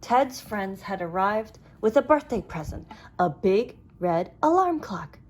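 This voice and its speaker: A woman reading a children's storybook aloud as narrator, with expressive storytelling. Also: medium pitch, measured pace. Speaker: storybook reader.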